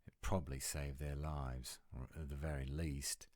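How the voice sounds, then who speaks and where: A man's voice: extremely low pitch, flat delivery, moderate pace, darkish tone, no echo, studio quality. A man voicing a grumpy, exasperated British werewolf in a scripted audio roleplay, curt and scolding, with a British accent. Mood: stern, explaining.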